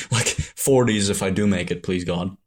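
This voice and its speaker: Hesitant young Irish-born man, lowish voice. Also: a little slow. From Ireland. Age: young adult. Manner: hesitant, rambling, many restarts and self-corrections. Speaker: animator and show creator. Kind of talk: reflective monologue to camera.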